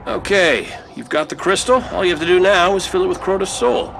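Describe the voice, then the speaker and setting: A roguish android scout commander from a video game, talks in a slightly high male voice. He is cocky and wisecracking, giving orders with breezy sarcasm. Loud music sits behind the voice. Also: vocal fry. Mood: briskly instructing. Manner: breezy, sardonic, brisk commands.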